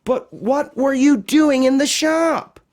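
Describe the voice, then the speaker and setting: Man putting on a high-pitched worried young girl. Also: takes it slowly, darkish tone. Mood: questioning. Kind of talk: storybook character voice.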